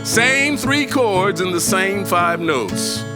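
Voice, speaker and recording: A man telling a story as a performer, in a folksy way with dramatic pauses and conversational asides, on the history of music. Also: sits high, lively intonation.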